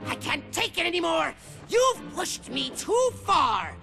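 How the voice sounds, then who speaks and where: A man voicing a small, pompous evil overlord in an animated game, nasal and theatrical in his ranting. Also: extremely high pitch, measured pace, creaky, faint music behind, exaggerated voice. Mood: outraged. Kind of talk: animated game villain acting.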